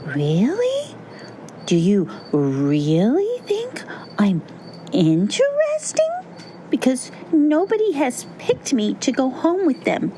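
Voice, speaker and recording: Casting: small male storybook pumpkin, played by a woman. High-pitched, eager, wistful, very animated. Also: measured pace, few pauses, a little hiss or hum. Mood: hopeful, pleading. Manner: exclaiming, pleading, hesitant.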